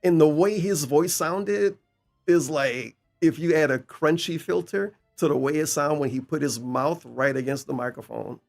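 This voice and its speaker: A man's voice: fairly high pitch, normal speed, gravelly, faint music behind. A man giving casual, mocking reaction commentary as a streamer, incredulous, sarcastic and profane.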